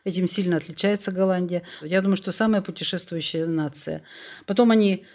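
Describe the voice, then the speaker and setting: A woman's voice: fairly low pitch, fairly quick. Frank woman around sixty, chatty storyteller. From Russia. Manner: frank, animated, tells anecdotes with quoted dialogue. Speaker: podcast guest. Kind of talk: candid conversational storytelling.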